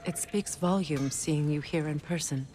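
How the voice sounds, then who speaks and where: An actress playing a gracious queen in a game, speaking in a measured way. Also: low voice, over music. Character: gracious queen of the forest people.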